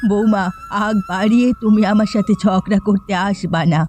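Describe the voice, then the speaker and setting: A woman's voice: mid-range voice, fairly even intonation, normal speed, a little mellow, soft background music, lightly acted. A woman voicing a quarrelsome, grieving old village mother-in-law in a cartoon. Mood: bitter, scolding. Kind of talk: Bengali moral-tale cartoon dubbing.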